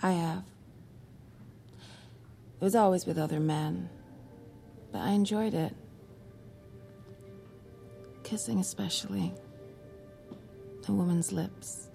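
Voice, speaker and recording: An actress playing a bold, flirtatious, self-assured colleague in an audio drama, teasing and deliberate. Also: female voice, fairly low pitch, fairly even intonation, creaky, warm tone, faint music behind. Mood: seductive, teasing. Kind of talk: naturalistic audio drama acting.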